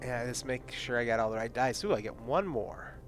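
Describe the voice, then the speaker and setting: A man's voice: mid-range voice, brisk, faint music behind. Chatty man thinking aloud over dice. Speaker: player. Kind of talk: casual game talk.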